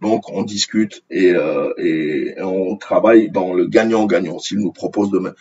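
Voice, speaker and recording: A man from Algeria whose mother tongue is Arabic delivers opinionated commentary on the news. His voice is rough and husky, sitting a little low. He sounds indignant and hammers phrases by repeating them, on a slightly muffled, lo-fi recording. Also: sing-song, measured pace, warm tone. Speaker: online commentator. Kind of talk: opinionated commentary on news articles read aloud.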